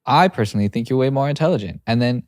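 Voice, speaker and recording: A young adult man producing and co-hosting a podcast, talking in an animated, rambling way and laughing easily. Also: mid-range voice, rapid-fire, dry recording, clean studio sound. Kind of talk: casual conversation.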